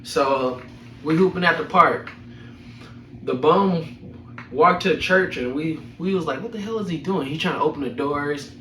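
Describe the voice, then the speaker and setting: A man's voice: on the high side, normal speed, sharp tone, slight background noise. Young man, echoey room, distant mic, slangy casual storytelling, profane, chuckly. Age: young adult. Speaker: storyteller host of a personal podcast.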